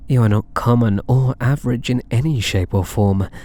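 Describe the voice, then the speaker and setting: A man's voice: mid-range voice, moderate pace, dark tone, lightly acted. A British man voicing a possessive, smug, doting catboy cat burglar in an intimate ASMR roleplay, coaxing and teasing with theatrical endearments. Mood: adoring, teasing.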